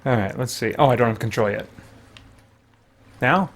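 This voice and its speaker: A man's voice: medium pitch, moderate pace. A man hosting a game livestream, chatting casually in a rambling, conversational way as he plays. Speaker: video game livestream host. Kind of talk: casual chat and play commentary.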